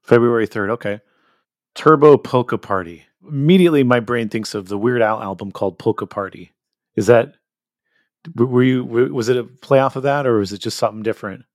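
A man's voice: medium pitch, brisk, no echo, studio quality. A man hosting a podcast and asking curious follow-up questions with fillers. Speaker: podcast host. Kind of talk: casual interview questions.

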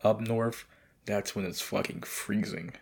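A young man hosting his podcast, rambling and swearing in a casual late-night monologue with a raspy voice. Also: on the low side, fairly even intonation, unhurried. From United States. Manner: profane, rambling, loose. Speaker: podcast host.

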